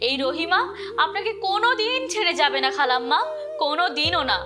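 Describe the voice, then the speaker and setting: Woman acting very high-pitched, devoted village woman. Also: animated, a little fast. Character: devoted, anxious village woman caring for an elder. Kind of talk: melodramatic cartoon voice acting. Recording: loud background music.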